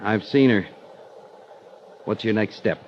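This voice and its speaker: An American man playing a skeptical, gruff police sergeant in a 1950s radio drama, matter-of-fact and probing. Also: on the low side, slow pace, a little hiss or hum. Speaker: supporting radio actor. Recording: muffled vintage radio recording.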